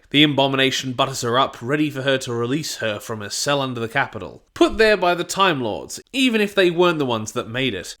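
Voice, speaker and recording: A man delivering a scripted, opinionated review as narration, in an expressive manner. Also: medium pitch. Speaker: YouTube audio drama reviewer.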